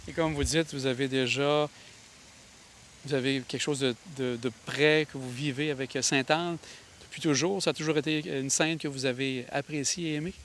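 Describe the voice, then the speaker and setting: Halting local TV interviewer. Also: male voice, medium pitch, fairly even intonation, fairly bright tone, a little hiss or hum. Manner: long questions with halting restarts. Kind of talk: interview questions.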